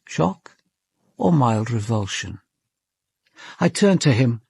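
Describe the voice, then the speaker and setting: An actor playing a composed, observant police commander with an English accent, in measured, formal radio drama narration and questioning. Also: male voice, mid-range voice, big pitch swings, creaky, darkish tone, husky, no echo, clean studio sound, exaggerated voice. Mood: reflective, measured. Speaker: detective narrator.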